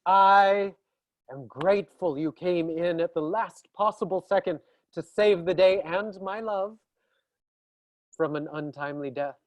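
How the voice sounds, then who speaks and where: A woman's voice: lowish voice, unhurried, no room sound, close to an everyday voice. A woman playing an effusive, grateful rescued heroine in a staged reading.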